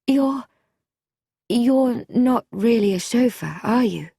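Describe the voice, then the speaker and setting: Woman acting a high-voiced, frightened young wife. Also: expressive, creaky, warm tone, husky, dry recording, clean studio sound, heavily acted. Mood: frightened, hesitant. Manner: hesitant, halting, pleading.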